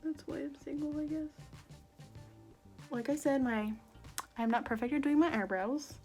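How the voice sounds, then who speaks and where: A young woman with a raspy, sore-throated voice, chatting casually and joking at her own expense while she does her makeup. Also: high voice, normal speed, a little mellow, faint music behind. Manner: self-deprecating, jokey, rambling. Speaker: beauty vlogger.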